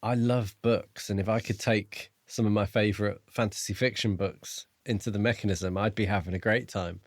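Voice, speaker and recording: A man co-hosting a podcast, chatting about books in a measured, informal and conversational way. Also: lowish voice, steady tone, no echo, clean studio sound. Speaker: book podcast co-host. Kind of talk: casual book discussion.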